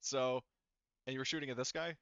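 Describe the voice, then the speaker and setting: A man's voice: medium pitch, fairly even intonation, fairly quick, fairly bright tone, clear, resonant tone, no echo. A man acting as game master, narrating a tabletop game in a casual, rambling, informal way. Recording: dry, slightly muffled voice mic.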